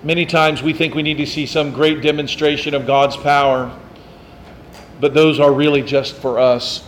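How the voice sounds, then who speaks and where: A man's voice: a little high, level tone, moderate pace, slight background noise. A man preaching a sermon as pastor, in a conversational way, asking the congregation questions and teasing them.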